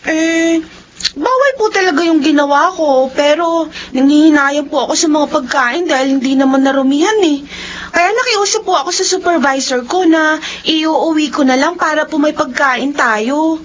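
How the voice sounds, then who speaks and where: A woman's voice: high-pitched, normal speed, close to an everyday voice. A woman playing an earnest, polite young granddaughter with a Filipino accent in a radio drama. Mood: tearful, apologetic. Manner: polite, explanatory. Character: dutiful, earnest young granddaughter, fast-food crew worker. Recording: slightly dull radio drama recording.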